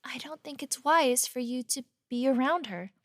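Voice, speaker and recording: A woman's voice: high voice, normal speed, a bit bright, no room sound, clean studio sound. A woman playing a deferential magician who serves a prince in an audio drama, speaking formally and hesitantly. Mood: challenging, worried. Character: deferential young magician, quietly jealous of her prince.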